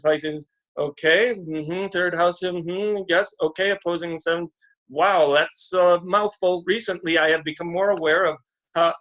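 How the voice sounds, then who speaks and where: A man giving a slow, deliberate, encouraging astrology reading on a video call. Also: a little high, a bit bright. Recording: dull lo-fi video call audio.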